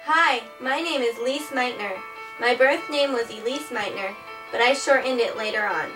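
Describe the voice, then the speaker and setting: A woman reads a prepared first-person history piece in a plain, earnest way. Her voice sits a little high and sounds bright. She is some distance from the mic, with faint music in the background. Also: moderate pace.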